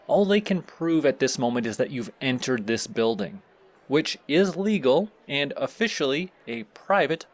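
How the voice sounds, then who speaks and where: A man's voice: medium pitch, natural voice. A man playing a pleasant supervillain boss in an audio roleplay, speaking politely and formally as he instructs the listener. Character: courteous, surprisingly pleasant male supervillain boss.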